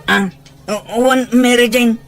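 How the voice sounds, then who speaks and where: Man playing high-voiced timid Filipino young suitor. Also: steady tone, unhurried. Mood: hesitant. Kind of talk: Ilocano audio drama acting. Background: background music.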